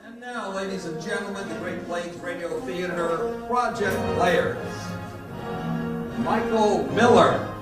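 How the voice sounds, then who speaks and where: A man's voice: high-pitched, slow, over loud music. An older man with an American accent, working as an announcer and speaking live on stage to an audience. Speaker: announcer and Foley artist. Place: indoor venue before a live audience. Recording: roomy live stage, distant mic, audience noise.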